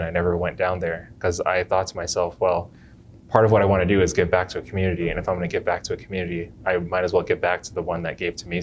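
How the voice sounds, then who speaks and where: Deep-voiced male chiropractor. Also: a little hiss or hum. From Jamaica. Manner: unhurried, restarts phrases often. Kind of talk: interview answers.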